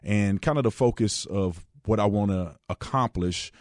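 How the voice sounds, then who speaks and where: A man from Texas in his thirties talks through his own radio show in an easygoing, rambling way, often restarting his sentences. His voice sits fairly low, and the studio sound is close and dry. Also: normal speed, no room sound. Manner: relaxed, chatty storytelling with frequent restarts. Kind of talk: casual radio monologue.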